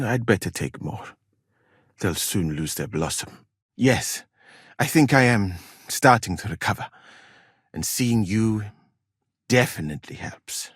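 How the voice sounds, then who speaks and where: Medieval game healer from mali, husky and dry close sound, super polite, gentle and formal. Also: male voice, fairly low pitch, dry recording, exaggerated voice. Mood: musing, instructive. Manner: courteous, measured, formal, careful wording. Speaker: video game character, physician. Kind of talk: acted video game dialogue, formal phrasing.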